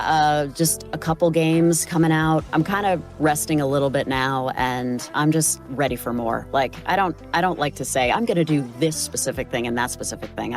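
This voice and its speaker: A woman with an American accent chatting informally as a voice actor being interviewed, self-effacing and animated as she tells stories. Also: rumbling low. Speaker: voice actor guest. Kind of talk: casual interview chat. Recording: music behind the voice. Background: music.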